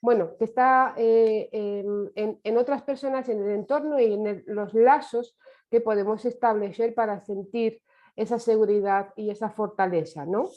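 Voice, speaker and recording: Woman, Romanian native, online lecture, mellow voice, dull call audio. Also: medium pitch. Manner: reflective, with many hesitations and restarts. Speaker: course speaker. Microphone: video call.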